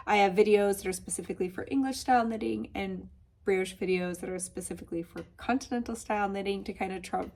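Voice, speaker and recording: A woman's voice: medium pitch, fast, creaky, darkish tone. A woman with a Michigan accent hosting a knitting podcast, congested and a little nasal, chatting casually with lots of asides. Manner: chatty, bubbly, with many asides. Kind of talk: casual chat.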